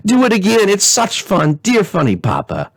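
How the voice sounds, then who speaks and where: Man putting on a high-pitched giddy teenage princess. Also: wildly swooping pitch, normal speed, gravelly, breathy edge, dry recording, full character voice. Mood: gleeful.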